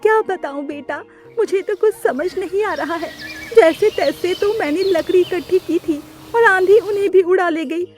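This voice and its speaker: A woman plays an anxious mother bird from a children's cartoon. The voice is very high and plaintive, lamenting her troubles. Music plays behind her. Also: moderate pace, darkish tone. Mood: tearful despair. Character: anxious, tearful mother bird, grateful and proud.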